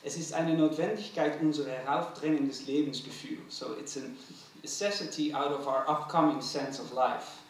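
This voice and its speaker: A man's voice: a little high, fairly even intonation, normal speed, hardly any pauses, a little hiss or hum. Dutch-accented man in a very echoey hall. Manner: thoughtful, hesitant, many fillers and restarts. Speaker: curator responding to a lecture. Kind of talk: academic talk. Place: large museum auditorium. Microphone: stand mic at table. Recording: very echoey hall, mic from a distance.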